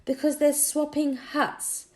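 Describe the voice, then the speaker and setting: A woman's voice: high voice, flat delivery, slow pace, voice pushed up. A woman voicing a bold, earnest young child in a children's audiobook, explaining things and urging a friend on. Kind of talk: children's audiobook character dialogue.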